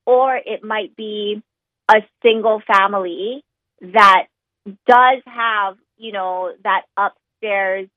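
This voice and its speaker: A woman's voice: medium pitch, dry recording. A woman who works as a mortgage lender, explaining loan rules in a conversational way with plenty of ums and likes. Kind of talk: explaining on a talk show. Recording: tinny phone line.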